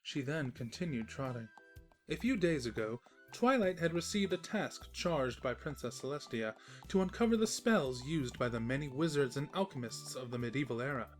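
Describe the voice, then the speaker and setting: A woman narrator reading a scripted comedic story in an expressive, wry storyteller manner. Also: a bass voice, moderate pace, soft background music.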